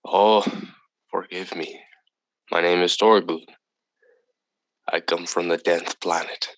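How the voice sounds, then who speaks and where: Radio drama alien emissary: deep, creaky, unhurried in manner, impeccably polite and enigmatic. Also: male voice, fairly even intonation, rough recording. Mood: courteous, calm. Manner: formal, measured, polite. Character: calm, courteous, mysterious male visitor from another planet. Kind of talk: old-time radio drama acting.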